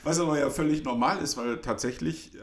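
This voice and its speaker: A man's voice: a little high, fairly quick, gravelly. A middle-aged man chatting casually and telling a story, hesitant with many fillers and restarts. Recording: roomy echo.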